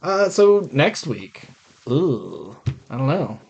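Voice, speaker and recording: A man's voice: medium pitch, sing-song, slow, creaky, darkish tone, breathy edge. A man co-hosting a podcast, chatting informally about movies and swearing now and then. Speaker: podcast co-host. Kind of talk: casual chat about movies.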